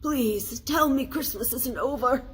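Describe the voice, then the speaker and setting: An eager old man in a storybook, reformed and full of gratitude, acted by a woman. The voice lifts higher and pushes out with earnest pleading, over faint noise. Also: measured pace.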